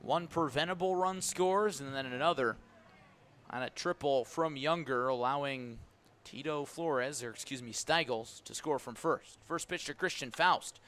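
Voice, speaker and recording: A man's voice: mid-range voice, a little slow, a bit bright. An American man calling baseball play-by-play live on the radio. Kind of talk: live radio sports commentary. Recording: live broadcast with background noise. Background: ballpark ambience.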